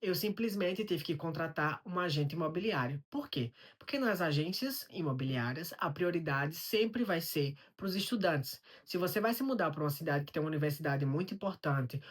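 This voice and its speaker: A woman's voice: low voice, lively intonation, moderate pace, hardly any pauses. A woman with a Brazilian Portuguese accent, telling a personal story to camera in a vlog and explaining things step by step, often asking a question and then answering it herself. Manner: explanatory, poses rhetorical questions and answers them. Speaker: vlogger.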